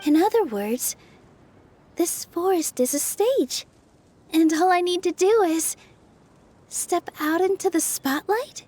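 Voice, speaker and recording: An actress voicing a gentle, earnest young woman dancer in a video game, with soft, sweet, polite delivery. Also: very high-pitched, lively intonation, slow, pauses often, full character voice. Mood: thoughtful, gentle. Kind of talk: video game voice acting, English dub. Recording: light background music.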